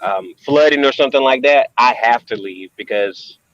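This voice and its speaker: A man in a casual video call who talks haltingly with many pauses and restarts. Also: mid-range voice, a bit bright, muffled recording. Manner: hesitant, many ums and restarts.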